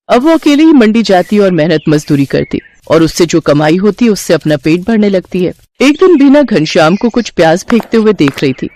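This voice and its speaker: A woman narrating a moral story in a calm, scripted storytelling manner. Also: fairly low pitch, slight background noise.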